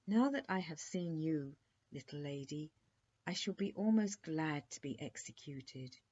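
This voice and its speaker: A woman's voice: sits low, animated, measured pace, darkish tone. A woman voicing a polite, resigned young prince in a storybook, speaking formally and courteously. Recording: dull lo-fi recording.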